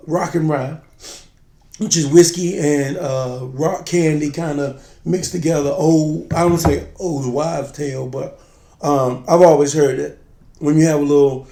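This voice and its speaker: Guy cohosting a drinks show, little raspy and stuffed up, higher voice, just chatting, echoey room. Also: steady tone, a little slow, warm tone. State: slightly raspy, congested voice. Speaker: co-host of a spirits review show. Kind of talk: casual chat. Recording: roomy, echoey sound.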